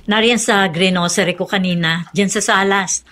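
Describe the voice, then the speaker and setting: An actress playing a matter-of-fact housewife in a Filipino radio drama. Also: female voice, mid-range voice, fairly even intonation, moderate pace, sharp tone, natural voice. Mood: casual.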